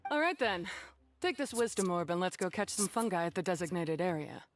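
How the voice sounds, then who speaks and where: A woman acts out a no-nonsense beast tamer from a video game. Her voice sits slightly low, and she talks bluntly and plainly. Faint music runs underneath. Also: lively intonation, brisk, natural voice. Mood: blunt, businesslike. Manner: blunt, matter-of-fact, unpolished. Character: blunt, self-deprecating female beast tamer, hardened contestant. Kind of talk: English video game voice acting.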